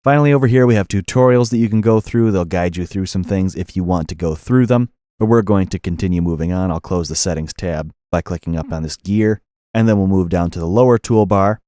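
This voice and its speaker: Male tutorial instructor, low register, methodical and explanatory delivery, close dry studio voice-over. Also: fairly quick, mellow, no room sound. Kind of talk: software tutorial narration.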